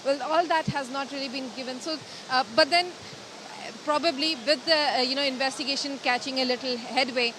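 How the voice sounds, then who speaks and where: High-pitched Indian woman reporting, noisy outdoors. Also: steady tone, brisk. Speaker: field news reporter. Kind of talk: live broadcast reporting. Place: outdoors outside a hospital mortuary in rain. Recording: noisy outdoor live link with rain and traffic.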